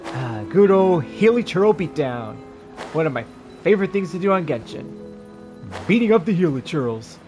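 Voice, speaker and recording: A man with a fairly high voice chats casually as he streams a video game. He sounds tired and talks in slang, muttering asides and mild curses. Game music plays behind him. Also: big pitch swings, moderate pace, darkish tone. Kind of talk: casual livestream gameplay commentary.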